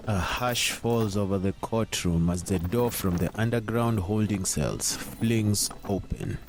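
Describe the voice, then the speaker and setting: A man narrating an audio drama in a theatrical, measured, suspenseful way. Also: fairly low pitch, slight background noise. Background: music.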